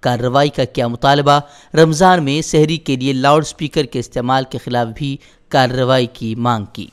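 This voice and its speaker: A man's voice: mid-range voice, fairly even intonation, moderate pace, smooth. A man presenting a scripted news bulletin, reading headlines in a formal, declarative manner. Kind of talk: scripted headline news bulletin read in Urdu-heavy register.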